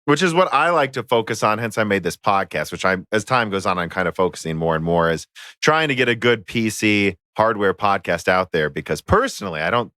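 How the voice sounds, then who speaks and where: A man's voice: on the low side, lively intonation, fairly quick, sharp tone, no room sound, studio quality. An American man hosting a casual tech podcast, chatty and rambling with frequent fillers. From United States. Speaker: podcast host.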